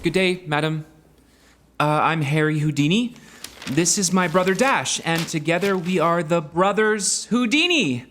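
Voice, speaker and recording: An actor playing a polite, earnest young male escape artist with a halting manner, in an audio drama. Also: mid-range voice, expressive, fairly bright tone, slight background noise. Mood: earnest, nervous. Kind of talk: musical audio drama acting.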